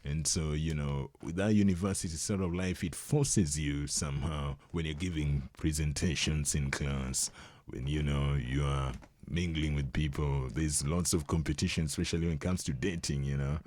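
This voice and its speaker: Very deep-voiced man. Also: moderate pace, few pauses. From Kenya. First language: Luo. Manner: relaxed, leans on "you know", playful teasing. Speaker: podcast guest, journalist. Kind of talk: casual interview chat.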